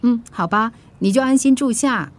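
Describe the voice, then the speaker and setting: A caring female friend in a radio drama, acted by a woman, high in pitch with a Singaporean accent. She is helpful and reassuring, with a habit of gentle nagging. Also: moderate pace, lightly acted. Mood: concerned, helpful. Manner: solicitous, reassuring.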